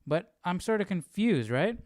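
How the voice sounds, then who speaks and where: Casting: male study-stream host, smooth clear voice, close dry sound, informal and questioning. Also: high voice, big pitch swings, moderate pace, a little mellow, no room sound. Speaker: Bible study livestream host. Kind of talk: casual thinking aloud, reading scripture.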